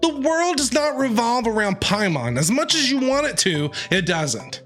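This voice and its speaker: A woman's voice: on the high side, very animated, normal speed, a bit bright, soft background music. A woman streamer giving joking, chatty commentary while playing, talking back to the characters. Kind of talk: let's play commentary.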